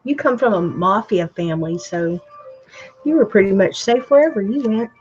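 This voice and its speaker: A woman hosting a craft chat from home, playful and teasing, and she talks loud. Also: medium pitch, animated, moderate pace, breathy edge, soft background music. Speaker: craft channel host. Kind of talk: casual chat while crafting. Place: home craft room, TV in the next room. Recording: dull lo-fi sound with faint noise.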